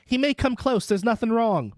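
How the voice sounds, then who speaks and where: A reader as an anxious, quavering gentleman passenger. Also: mid-range voice, lively intonation, normal speed, dry recording, studio quality, pitched above the performer's own voice, close to an everyday voice. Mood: reassuring. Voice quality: mildly quavering. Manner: hesitant, polite questions.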